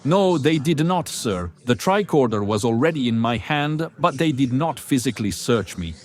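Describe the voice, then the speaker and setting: A man's voice: mid-range voice, lively intonation, normal speed, darkish tone, close to an everyday voice. A man playing a modest, deferential religious brother serving as a junior starship officer, earnest and obedient. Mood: earnest, uneasy.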